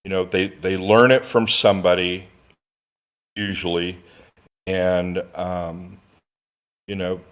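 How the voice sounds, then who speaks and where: A man in a casual discussion, speaking haltingly as he searches for his words. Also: low voice, little pitch movement, clear, resonant tone. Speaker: discussion participant on a stream.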